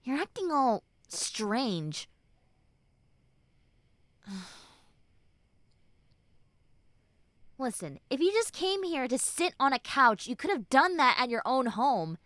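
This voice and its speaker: Woman acting a high-voiced prickly tsundere girl with a soft heart. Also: very animated, no room sound, exaggerated voice. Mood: curt, annoyed. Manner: halting, sighing, informal delivery. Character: prickly tsundere young woman who turns tender and comforting. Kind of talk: roleplay audio acting addressed to the listener.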